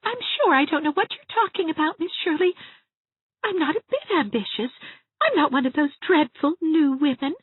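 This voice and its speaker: A woman's voice: very high-pitched, no echo. A woman voicing a heartbroken, self-pitying young woman who speaks in a tearful, theatrical way, recorded with a tinny, dry sound. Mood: bitter. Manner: tearful, theatrical, sobbing delivery. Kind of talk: dramatized audiobook reading.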